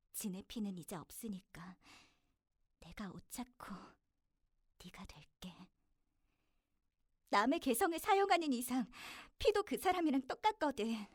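Teenage anime villain girl, wounded and lovelorn, highish breathy voice, emotional halting delivery, very dry sound. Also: warm tone, no room sound. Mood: quiet resolve. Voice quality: breathy, often dropping to a whisper. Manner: halting, emotional, swinging from intense to tender. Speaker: voice actor recording a sample. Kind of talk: emotional anime voice acting with inner narration.

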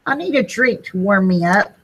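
A woman's voice: mid-range voice, animated, normal speed, fairly bright tone, a little hiss or hum, close to an everyday voice. A woman voicing a cheerful, cold and sneezy male snowman in a children's storybook, exclaiming and drawing out words. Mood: pleased. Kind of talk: children's storybook read-aloud.

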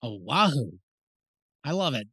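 A man's voice: medium pitch, big pitch swings, unhurried, bright, forceful tone, slightly rough, no echo, clean studio sound, exaggerated voice. A man playing a teasing, jokey, easygoing dad in an audiobook. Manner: jokey, casual. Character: playful, teasing, easygoing dad of a young boy. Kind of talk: full-cast audiobook acting.

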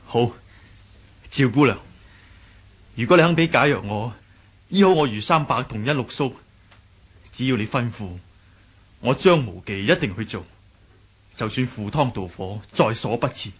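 Righteous young swordsman, Hong Kong Cantonese radio drama. Slightly husky, animated, emphatic. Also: mid-range voice, normal speed, long pauses. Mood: solemn. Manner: emphatic, earnest. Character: earnest, righteous young martial arts hero.

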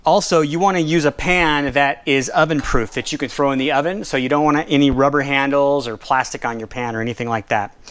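Smooth-voiced man demonstrating a recipe. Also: fairly high pitch. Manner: relaxed, explanatory, with fillers and self-corrections. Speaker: cooking and nutrition instructor. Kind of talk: casual cooking demonstration. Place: home kitchen at a gas stove, seen from overhead.

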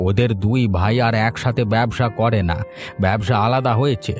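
Cartoon village elder, male actor: principled, caring, rustic and earnest, mid-pitched, over background music. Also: normal speed, natural voice. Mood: earnest, indignant. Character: concerned, principled village uncle, older man. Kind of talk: Bengali cartoon voice acting. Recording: music behind the voice.

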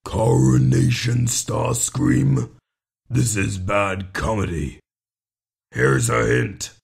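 A man's voice: fairly low pitch, very animated, extremely slow, vocal fry, husky, exaggerated voice. A man voicing a ruthless, scornful robot tyrant with a menacing, mocking manner. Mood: scornful menace. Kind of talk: fan voice reel, cartoon villain acting.

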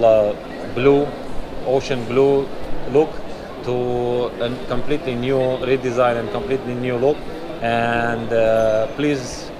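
A man in his thirties hosting a walkaround review of a fishing expo, talking with many "uh"s and restarts, recorded on a chest-mounted camera in a large indoor exhibition hall. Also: medium pitch. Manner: filler-heavy, frequent "uh" and restarts. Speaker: fishing channel host. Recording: noisy expo hall ambience. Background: hall ambience and air conditioning hum.

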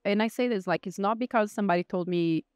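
A woman in her thirties with a Brazilian Portuguese accent talks casually as an interview guest. She uses many fillers and restarts herself often. Her voice is smooth and clear, and the sound is dry and close. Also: mid-range voice, fast talker, dry recording. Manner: chatty, frequent fillers and restarts. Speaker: guest security expert.

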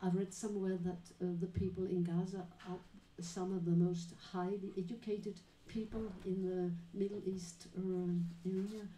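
A woman moderating a live panel talk, introducing guests and asking questions in a measured, explanatory way with many pauses. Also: mid-range voice, level tone, warm tone. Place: small dim venue with live audience. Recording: echoey room recording.

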